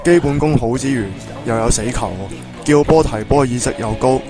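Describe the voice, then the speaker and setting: An earnest school football coach acted by a man speaks Hong Kong Cantonese in a radio play. He is measured, encouraging and persuasive. Music plays beneath his mid-pitched voice. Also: lightly acted. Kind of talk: Hobby radio drama acting. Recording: music bed under the dialogue.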